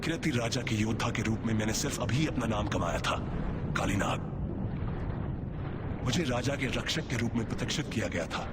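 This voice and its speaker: A man's voice: mid-range voice, very fast, long pauses, very noisy, natural voice. An actor voicing a solemn, regretful male warrior who reflects on his youth in dramatic video game narration. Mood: solemn reminiscence. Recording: loud music under the voice.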